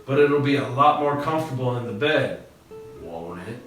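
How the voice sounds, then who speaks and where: A man voicing a good-humored frontier marshal, talking in a low, easygoing drawl. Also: animated, normal speed, lightly acted. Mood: wry. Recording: roomy echo, distant mic, faint guitar music.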